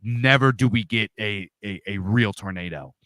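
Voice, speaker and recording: Loud, forceful man. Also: lowish voice, normal speed, smooth, no echo. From Pennsylvania, USA. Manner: loud, big-voiced talker. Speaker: interview guest. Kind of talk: casual storytelling.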